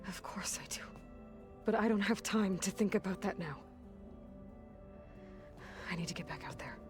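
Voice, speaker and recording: An actress playing a guarded, determined young warrior heroine in a video game, reserved and matter-of-fact. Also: female voice, medium pitch, expressive, normal speed, close to an everyday voice. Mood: guarded, brisk. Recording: music under the voice.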